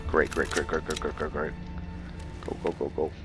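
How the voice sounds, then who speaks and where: A man's voice: rumbling low, completely flat delivery, moderate pace. A man doing casual commentary over a roleplay video game, muttering short reactions and talking to his viewers. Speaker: gaming video host playing and commentating. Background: game music.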